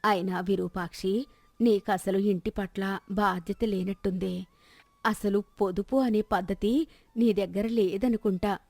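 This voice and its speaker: A woman's voice: mid-range voice, steady tone, measured pace, darkish tone, dry recording. A woman voicing a stingy old grandmother in a moral story, lecturing and scolding. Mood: scolding, preachy.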